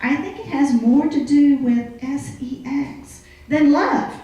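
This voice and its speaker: A woman's voice: high-pitched, animated, slow pace, mellow, lo-fi sound. A woman gives a folksy, coy, conversational humorous monologue as a commentator on a live stage show. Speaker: comic radio-show commentator. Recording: very echoey live stage recording.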